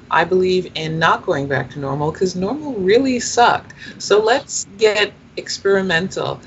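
A woman's voice: fairly low pitch, fairly bright tone. A woman speaking emphatically and outspokenly in a casual panel chat over a video call. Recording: dull lo-fi video call with faint noise.

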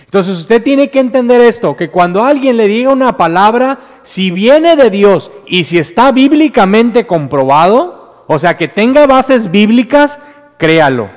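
High-voiced Mexican preacher in reverberant church. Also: male voice, animated, unhurried. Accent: Mexican Spanish. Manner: earnest storytelling, frequent repetitions and restarts, rising emphatic declarations. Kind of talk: sermon. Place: church sanctuary with stage, indoors. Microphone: standing mic at pulpit.

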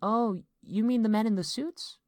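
A man putting on an excited high-pitched little girl. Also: normal speed, dry recording, clean studio sound. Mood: excited, innocent. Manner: childlike, bright and eager. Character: excited innocent little schoolgirl, eager to please daddy.

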